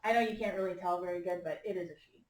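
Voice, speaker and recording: A woman teacher reading a picture book aloud to young children, patiently prompting them with questions and gentle instructions. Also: lowish voice, racing. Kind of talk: interactive picture-book read-aloud to a class. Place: echoey indoor room with young children. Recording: echoey room, distant microphone.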